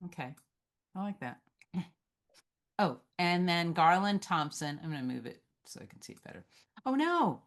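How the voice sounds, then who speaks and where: A woman's voice: fairly low pitch, very animated, normal speed, pauses often. A woman moderating a script-reading Q&A in a conversational, reflective and encouraging way, passing on audience comments.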